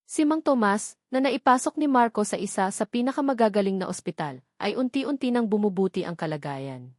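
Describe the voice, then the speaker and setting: A woman narrating a scripted love story as a storyteller, with a measured, earnest, sentimental delivery. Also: mid-range voice, animated, darkish tone, dry recording, clean studio sound.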